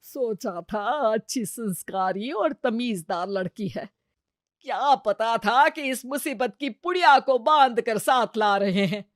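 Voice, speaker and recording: A sharp-tongued older mother-in-law, voiced by a woman in a cartoon, full of sarcastic grumbles. Her voice sits fairly high and sounds dry and close. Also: a little slow, no room sound, natural voice. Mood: grumbling.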